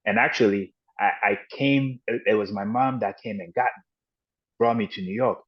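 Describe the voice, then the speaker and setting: A man with a low, deep voice recalls his past as a podcast guest, pausing and restarting often as he gathers his thoughts. The audio is dull and lo-fi. He tells it plainly and reflectively. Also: breathy edge. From Ghana and the United States. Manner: halting and reflective, with frequent restarts and "you know". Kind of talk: personal storytelling in an interview.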